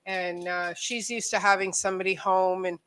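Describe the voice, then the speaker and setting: A woman's voice: medium pitch, fairly even intonation, moderate pace, a loud crowd. A woman from Canada's Niagara region in her thirties, hosting a casual chat from home. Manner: chatty, loose, laughs easily. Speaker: show host. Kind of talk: casual video chat interview.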